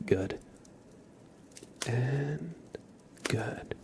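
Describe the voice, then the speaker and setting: A man doing a soft-spoken ASMR roleplay as a streamer, breathy and often whispering, giving gentle, playfully deadpan instructions. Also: medium pitch, extremely slow, background noise.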